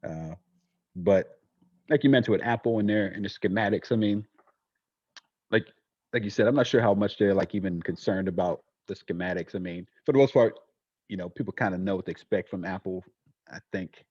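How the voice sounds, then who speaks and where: A man co-hosting a casual podcast chat, hesitant with many fillers and quick to laugh. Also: sits low, darkish tone, no echo, dull audio. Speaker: podcast co-host.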